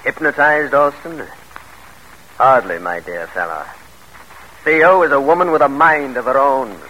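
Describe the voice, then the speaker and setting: An actor playing a proud, ambitious father and scornful gentleman schemer in old-time radio drama, theatrical and articulate. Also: medium pitch, expressive, a little slow. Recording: noisy vintage radio recording.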